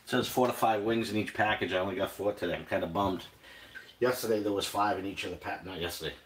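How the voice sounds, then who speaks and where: Low-voiced older New England man. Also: fast talker, a little hiss or hum. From Boston area, New England. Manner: rambling, chatty, many fillers and restarts. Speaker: cooking livestream host. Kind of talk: casual live cooking chat.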